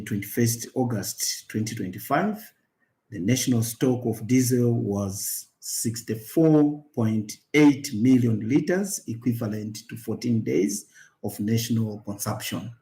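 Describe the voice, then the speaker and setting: Slow, mellow Zambian man, official tone. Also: medium pitch. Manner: deliberate, official, halting phrasing. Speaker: government minister. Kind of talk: formal read-out statement.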